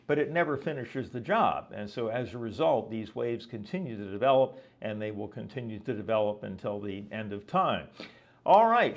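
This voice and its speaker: A man giving an explanatory lesson as a weather presenter, talking conversationally and often checking in with the listener. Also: mid-range voice. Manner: didactic, conversational, frequently checking with "okay?". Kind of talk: explanatory lecture with visual examples.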